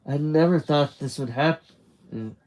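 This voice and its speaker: A man's voice: on the high side, slow, a little mellow, poor audio. A man voicing a shy, emotional teenage boy in a story, tentative and earnest. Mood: tearfully happy.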